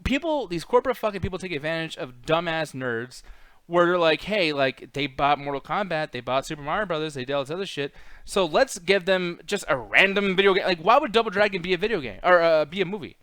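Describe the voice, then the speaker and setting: A man's voice: fairly high pitch, lively intonation, a little fast, a bit bright, no room sound. A man hosting a casual, opinionated movie chat, ranting bluntly with lots of swearing and mocking quotes. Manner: mimics characters in mocking quotes. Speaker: podcast host.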